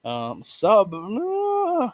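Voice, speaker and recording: A man with a Portuguese accent, a native Portuguese speaker, doing live esports commentary with animated delivery and frequent stumbling restarts. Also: sits high, extremely slow. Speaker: esports caster.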